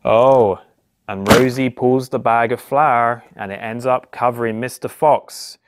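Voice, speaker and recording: A man reads a story aloud to young children in simple, expressive narration, posing questions and exclaiming as he goes. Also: medium pitch, measured pace, background noise. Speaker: police constable reading a children's story. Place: small indoor office or home room.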